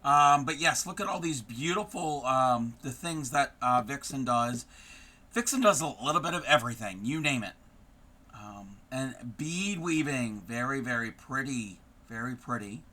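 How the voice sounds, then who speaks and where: A hoarse man who is losing his voice, hosting a casual live stream and chatting warmly with viewers by name, with many ums. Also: mid-range voice, animated, unhurried. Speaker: craft live-streamer host.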